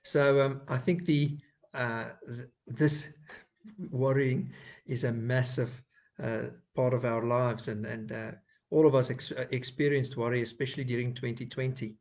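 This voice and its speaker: A man with an Afrikaans South African accent, a clinical psychologist giving a faith-based talk in his second language, pausing often with fillers and restarts. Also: medium pitch, flat delivery, darkish tone. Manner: hesitant, many fillers and restarts.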